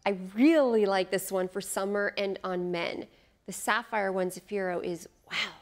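A woman's voice: mid-range voice, normal speed. Middle-aged woman, chatty fragrance reviewer, lapel mic, enthusiastic and rambling. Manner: chatty, enthusiastic, thinks aloud with fillers and asides. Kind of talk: casual product review to camera. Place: studio in front of a beach backdrop.

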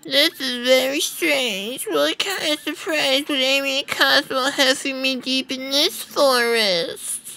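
A woman's voice: sits high, slow, creaky, sharp tone, slight background noise, exaggerated voice, rough recording. A woman voicing a stern, indignant red echidna warrior in a comic dub. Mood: puzzled.

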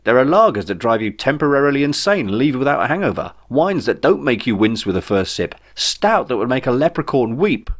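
Man acting male alien pilot, chatty, matter-of-fact, animated intonation, comic sci-fi storybook. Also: mid-range voice, a little fast, hardly any pauses, voice pushed up. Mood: enticing. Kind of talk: comic sci-fi audiobook dialogue.

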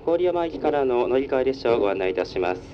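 A man's voice: big pitch swings, fast. Muffled male train conductor over the PA. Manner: formal, polite, procedural. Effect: public address speaker. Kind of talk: onboard train announcement. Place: inside a moving train. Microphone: recorded from the carriage speaker. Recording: muffled train PA, rumbling vehicle noise. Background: train running noise.

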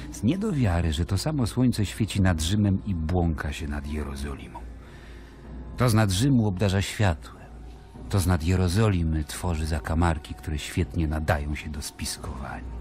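An actor playing a weary, wary Roman governor in a radio play, brooding and disdainful as he voices his letters in measured phrases. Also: rumbling low. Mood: bitter, brooding. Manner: brooding, measured, disdainful, dropping at times to near whisper. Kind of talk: dramatic monologue in a radio play, letter read aloud. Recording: music bed behind the voice. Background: music.

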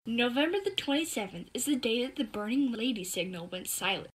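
A woman's voice: fairly high pitch, animated, brisk, close to an everyday voice. A female performer voicing an eager, bossy, clever young girl who confidently explains things in a scripted audio drama.

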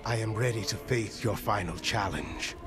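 Game crusader, male actor: lowish voice, solemn, formal and fervent, music clearly behind him. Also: normal speed, natural voice. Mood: solemn, confident. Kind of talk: video game cutscene acting.

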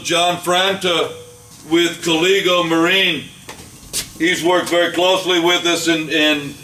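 An older man, a boat builder, giving a plain, unhurried walkthrough of a catamaran under construction, with frequent fillers. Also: a little high, sharp tone, far from the mic. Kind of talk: casual walkthrough tour. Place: large boatbuilding warehouse, indoors. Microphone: camera mic, speaker often several steps away. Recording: echoey warehouse, voice from off-mic, faint hum.

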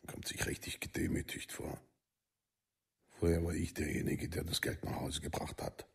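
An actor playing a worn-out, humiliated village father with a husky, gravelly voice in a documentary radio play. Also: sits low, wildly swooping pitch, normal speed, exaggerated voice. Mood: bitter, humiliated.

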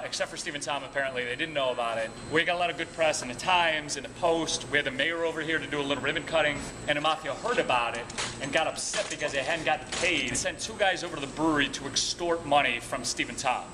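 A man's voice: fairly high pitch, fast talker. A man working as a tour guide, telling casual, humorous stories to a group in a colloquial way. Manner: chuckles at own anecdotes, colloquial. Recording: noisy room, background music at times.

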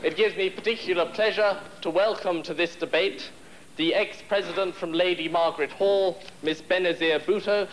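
A man's voice: high voice, steady tone, normal speed, very noisy. A young man in his twenties formally chairing a debate in a large wood-panelled hall. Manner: formal, ceremonial. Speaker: debate society president chairing.

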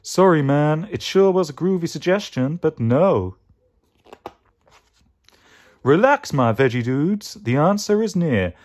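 A man's voice: a little high, expressive, slow pace, long pauses, darkish tone, pitched above the performer's own voice. A man voicing a laid-back, mystical hippie mango in a children's storybook, full of drawling hippie slang. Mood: relaxed, advising.

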